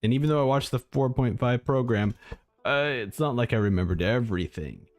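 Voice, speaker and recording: A man's voice: medium pitch, lively intonation, brisk. A man chatting casually and thinking aloud as a live streamer reacting to game trailers. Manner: rambling, informal, thinking aloud.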